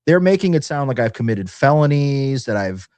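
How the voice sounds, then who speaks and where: A man talking casually as a guest on a talk show, somewhat halting as he restarts his phrases. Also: medium pitch, sing-song, a little mellow. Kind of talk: casual chat.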